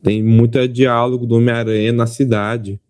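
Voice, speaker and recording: A man's voice: mid-range voice, little pitch movement, moderate pace, darkish tone. A man with a Brazilian accent, chatting casually as a podcast co-host. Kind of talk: casual chat.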